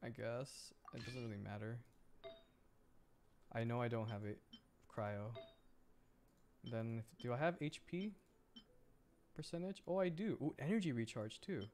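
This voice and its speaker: A man doing casual livestream gameplay commentary, excitable and thinking aloud as he plays. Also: mid-range voice, measured pace, pauses often, slight background noise. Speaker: streamer.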